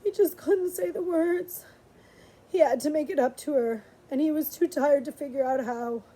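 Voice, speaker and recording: A woman reading a storybook aloud in an expressive, dramatic way with drawn-out pauses. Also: high voice. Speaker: audiobook reader, librarian.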